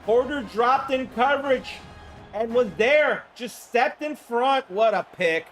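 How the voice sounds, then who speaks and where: A man with a high voice and a hoarse throat calls plays on a live stream, with music faint underneath. He keeps greeting viewers as he goes and jumps into loud bursts when a play breaks. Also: slow pace. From Florida. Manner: chatty, greets viewers by name, excited bursts on big plays. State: hoarse from the flu. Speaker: streamer calling a simulated football game.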